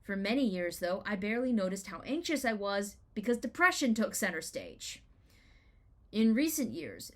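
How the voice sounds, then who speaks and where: A woman reads a personal essay aloud in a medium-pitched voice. Her delivery is dramatic and deliberate, shaped like storytelling. She leans into rhetorical questions and key words with clear emphasis. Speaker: writer reading own blog essay.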